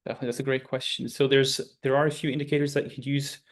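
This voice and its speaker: A man's voice: medium pitch, level tone, rapid-fire. A man, a guest speaker, answering questions in a webinar and often searching for his words. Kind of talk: webinar Q&A explanation. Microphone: video call.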